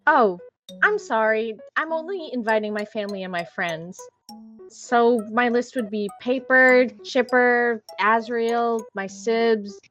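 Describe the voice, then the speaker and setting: A woman's voice: on the high side, fairly bright tone. A woman playing a small, cheerful, earnest ten-year-old child in a fan-made comic dub. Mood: eager.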